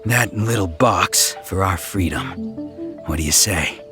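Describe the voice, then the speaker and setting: A scheming treasure-hunting bandit with a fairly low voice. He is gruff and cocky, a video game rogue always angling for a deal, with faint music underneath. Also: unhurried. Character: rough, scheming male bandit, treasure hoarder. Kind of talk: video game dialogue acting.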